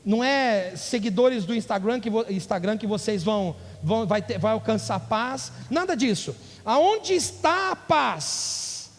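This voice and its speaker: High-voiced man preaching in a reverberant hall. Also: lively intonation, unhurried. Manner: preaching with rising emphatic bursts and audience questions. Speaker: pastor. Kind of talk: sermon. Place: church. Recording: church hall with long reverb.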